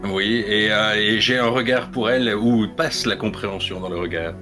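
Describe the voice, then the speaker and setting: A man playing a character in a tabletop roleplaying game, describing his actions in a measured way. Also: lowish voice, over music. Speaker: player.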